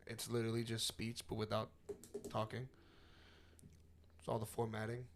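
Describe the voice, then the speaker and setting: A man's voice: fairly low pitch, monotone, moderate pace, a little hiss or hum. A man of about thirty with an American accent, hosting a casual podcast with teasing, jokey banter. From Florida, United States. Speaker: podcast host.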